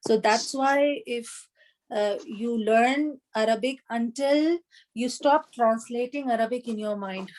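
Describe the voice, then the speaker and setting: A woman teaching an online Arabic class, explaining grammar and translation patiently, with frequent fillers and pauses. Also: on the high side, a little mellow, poor audio.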